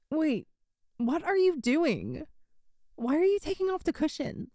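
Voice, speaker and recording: Woman acting a high-voiced, playfully teasing young woman. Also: huge pitch swings, takes it slowly, mellow, dry recording, studio quality, full character voice. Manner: teasing, giggly, conversational. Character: playful teasing young woman, best friend's older sister. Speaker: audio roleplay voice actor. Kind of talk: intimate ASMR-style audio roleplay, one side of a conversation.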